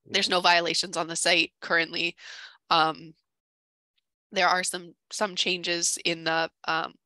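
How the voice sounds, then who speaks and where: A middle-aged woman, her voice starting to give out, carefully and haltingly presenting a case at an informal online meeting. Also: fairly low pitch, little pitch movement, bright, forceful tone, dry recording, poor audio. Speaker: town conservation staffer presenting a case. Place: indoor room, on video call. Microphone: headset on video call.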